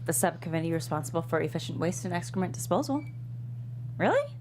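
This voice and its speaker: An actress playing an earnest, idealistic young intern in a sitcom script read, with an eager, stammering delivery. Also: female voice, lowish voice, very animated, fast talker. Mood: flustered, eager. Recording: noisy room with hum.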